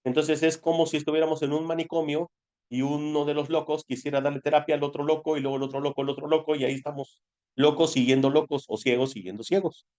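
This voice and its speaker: A man's voice: on the high side, fairly even intonation, quick pace, a little mellow. A man with a Mexican Spanish accent giving an informal spiritual lesson, talking conversationally like a teacher. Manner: conversational, asks rhetorical questions and answers them. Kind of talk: informal explanatory spiritual talk.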